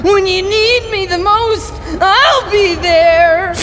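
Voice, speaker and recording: A woman's voice: extremely high pitch, slow, music in the background. A woman voicing an eerie, sentimental talking dead cat in a comic audio drama. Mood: tender, solemn. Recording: noisy background.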